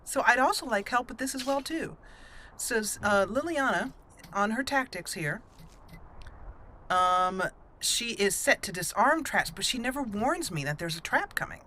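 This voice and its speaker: Bright-voiced chatty woman gaming. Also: fairly high pitch, expressive, a little slow, slight background noise. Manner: chatty, giggly, rambling with fillers. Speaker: let's play host. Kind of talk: casual gameplay commentary.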